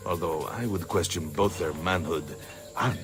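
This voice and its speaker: An actor voicing a jovial, sly, French-speaking smuggler in a video game, roguish and theatrical. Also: male voice, low-pitched. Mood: amused, sly. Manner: roguish, theatrical, drawn-out hesitations.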